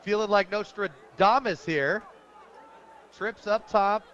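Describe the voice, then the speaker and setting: A man's voice: sits high, slow pace, fairly bright tone, a faint crowd. An American man working as a radio play-by-play announcer, giving enthusiastic, descriptive live football commentary outdoors at a stadium. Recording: dull lo-fi outdoor radio broadcast.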